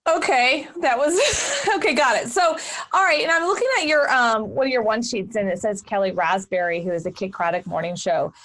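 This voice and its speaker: A woman's voice: a little high, brisk, fairly bright tone. A woman from Houston, hosting an interview in an outspoken, emphatic, debating way. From Houston, Texas. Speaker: podcast host. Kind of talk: casual interview chat.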